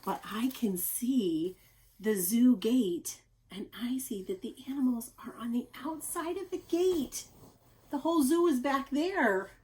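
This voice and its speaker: Expressive higher-voiced woman reading a picture book. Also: rough recording. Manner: expressive, questioning, deliberate emphasis. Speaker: teacher reading aloud to young children. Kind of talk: picture-book read-aloud with asides to children.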